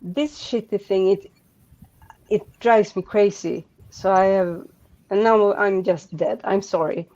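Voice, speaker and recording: A woman from Sweden with a Swedish accent, speaking English as a second language in a casual online group chat, halting and rambling with many restarts and fillers. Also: medium pitch, fairly even intonation, a little mellow, dull audio.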